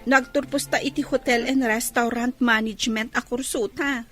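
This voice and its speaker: A woman's voice: a little high, steady tone, moderate pace, a bit bright, lightly acted. A woman playing a curious, easily amazed young friend in a radio drama, inquisitive and exclamatory.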